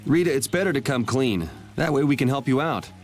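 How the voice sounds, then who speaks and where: A man's voice: mid-range voice, animated, brisk, soft background music, lightly acted. A man playing a cool, wry young swordsman in a video game, dry and teasing. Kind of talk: video game dub acting.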